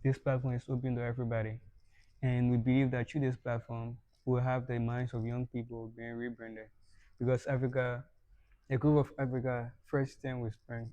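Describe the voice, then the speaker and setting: A man's voice: medium pitch, mellow, no room sound, dull audio. A young man hosting a podcast interview in a casual way. He laughs often and repeats words when he starts a sentence. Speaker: podcast host.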